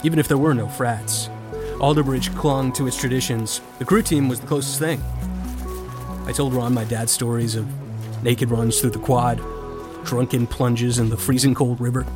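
A man's voice: mid-range voice, fairly even intonation, moderate pace, lightly acted. An actor playing a privileged, cocky American college freshman in an audio drama, speaking and narrating in a casual, dry, self-assured way. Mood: reflective. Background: music bed.

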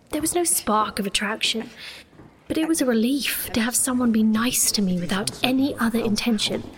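A woman's voice: on the high side, natural voice. An actress playing a sheltered, proud young sorceress who tells her own story in an earnest, intimate way in a fantasy audio drama. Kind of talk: first-person fantasy audio drama narration and dialogue.

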